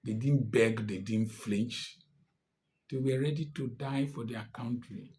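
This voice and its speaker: A man's voice: mid-range voice, fairly even intonation, measured pace, darkish tone. A man in his sixties with a Nigerian accent, giving an impassioned political address, emphatic and defiant. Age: 60s. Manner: emphatic and defiant, rising to angry outbursts. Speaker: commentator.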